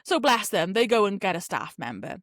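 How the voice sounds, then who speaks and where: Ożywiona walijka opowiadająca anegdotę. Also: female voice, medium pitch, fairly quick, no room sound, studio quality. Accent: Welsh. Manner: animated, laughs often, swears freely. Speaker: podcast host. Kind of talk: casual storytelling monologue.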